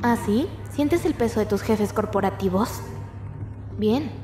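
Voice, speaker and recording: A woman's voice: mid-range voice, expressive, measured pace, lightly acted. A young woman playing a tough, snarky outlaw in a Latin American Spanish video game fan dub, blunt and sarcastic. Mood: sarcastic, probing. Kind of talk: amateur fandub of a video game. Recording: echoey room with music.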